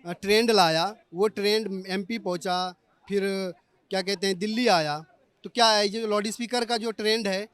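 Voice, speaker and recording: A man's voice: high voice, fairly even intonation, normal speed, fairly bright tone, no room sound. A man working as a street reporter, putting questions to members of the public in vox pop interviews.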